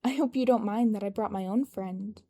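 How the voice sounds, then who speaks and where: A woman's voice: medium pitch, normal speed, mellow, natural voice. A woman voicing a cocky, composed young male leader with an easygoing, wry manner in a narrated story.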